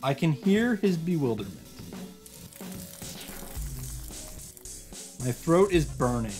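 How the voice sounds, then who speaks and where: A man voicing a desperate, determined young hero, narrating a visual novel in an intense, halting, solemn way. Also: on the high side, very animated, a little mellow, faint music behind, voice pushed up. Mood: desperate resolve.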